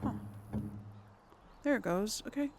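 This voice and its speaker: A woman's voice: a little high, lively intonation, unhurried, creaky, slight background noise. A woman giving casual let's play commentary as host of a gaming series, chatty and thinking aloud as she plays. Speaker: host of a role-play video game series.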